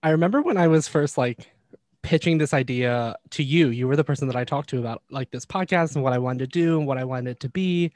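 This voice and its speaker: A man's voice: mid-range voice, fast, a little mellow, no room sound, clean studio sound. A man hosting a language podcast, chatting casually and rambling a little with fillers. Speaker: podcast host.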